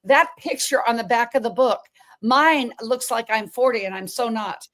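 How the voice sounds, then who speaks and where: Woman host, higher voice, effusive and chatty, frequent restarts. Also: moderate pace, a bit bright. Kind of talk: casual interview chat.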